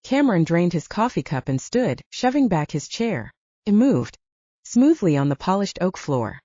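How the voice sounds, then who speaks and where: A woman's voice: on the low side, sing-song, moderate pace, mellow, no echo. A woman reading a scripted story as an audiobook narrator, in slightly dull audio.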